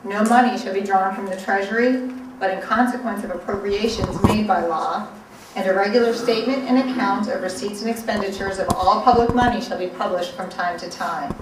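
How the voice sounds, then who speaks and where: A woman's voice: mid-range voice, a little fast. Woman reading formally, noisy echoing event. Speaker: invited guest reader. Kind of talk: formal public reading of a legal document. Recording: noisy, echoey event, distant mic.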